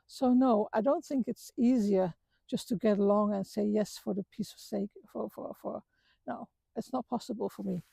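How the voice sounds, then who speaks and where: A middle-aged woman from the Netherlands with a Dutch accent, talking earnestly about her life with many halting restarts. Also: mid-range voice, vocal fry, warm tone, husky, dry recording. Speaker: vlogger. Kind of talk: reflective personal talk. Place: outdoors on a walk.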